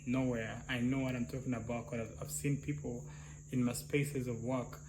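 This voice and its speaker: A man with a soft, slightly high voice, thinking aloud in casual discussion with many restarts and "yeah?" tags. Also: little pitch movement, moderate pace. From Uganda. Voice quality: soft, somewhat high-pitched voice. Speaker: clinical psychologist, co-host. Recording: noisy, crickets and hum.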